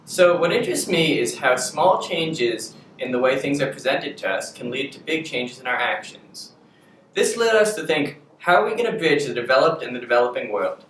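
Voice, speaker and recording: A man in his twenties, a university student, delivering a scripted pitch with animated rhetorical questions. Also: normal speed, slight background noise. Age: 20s. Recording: roomy, distant recording.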